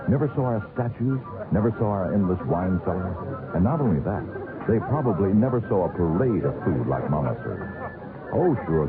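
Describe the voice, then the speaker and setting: An American man delivering a persuasive scripted radio commercial in mock-pitying, rhetorical style. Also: sits low. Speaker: advertising announcer. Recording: narrow vintage radio with music. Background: music.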